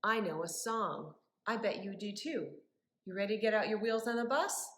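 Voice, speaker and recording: A woman leading story time for young children as a librarian, with animated wonder and a sing-song, encouraging way of talking. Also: medium pitch, normal speed. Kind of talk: children's story time with rhymes, questions and songs. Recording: echoey room.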